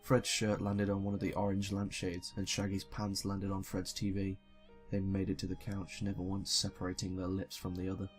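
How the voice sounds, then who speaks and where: A young man reading a story casually, with sweary, self-deprecating joking asides. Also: low voice. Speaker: YouTuber reading fan fiction.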